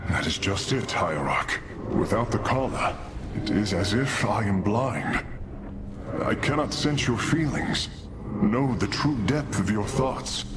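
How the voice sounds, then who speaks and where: An actor playing an earnest, melancholy alien engineer in a video game, with formal, measured phrasing. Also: male voice, lowish voice, animated, very noisy. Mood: sorrowful. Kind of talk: dramatic video game cutscene acting.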